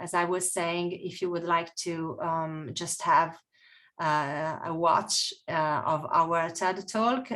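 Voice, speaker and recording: A woman presenting a webinar as a nature coach, talking hesitantly with many pauses and fillers over a video call. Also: lowish voice, a bit bright. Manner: hesitant, many fillers, non-native phrasing.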